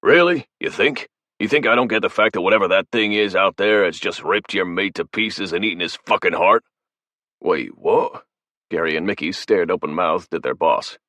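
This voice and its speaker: A man playing a gruff swearing squad boss. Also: rumbling low, moderate pace, dry recording. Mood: angry. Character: tough male soldier boss, gruff and profane.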